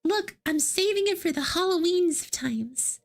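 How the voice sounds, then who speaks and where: Very high-voiced playful streamer woman. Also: animated, unhurried. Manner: playful and giggly, teasing chat. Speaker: VTuber streamer. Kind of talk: casual livestream chat, answering would-you-rather questions.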